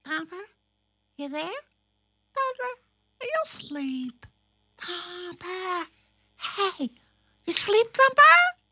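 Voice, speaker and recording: A woman's voice: very high-pitched, very animated, takes it slowly, pushed and bright, dry recording, voice pushed up, heavily acted. A woman voicing a little girl rabbit from a children's storybook, eager and insistent, repeating herself. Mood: pestering. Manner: repeats words and questions insistently. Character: little baby rabbit sisters, eager, pestering, easily frightened.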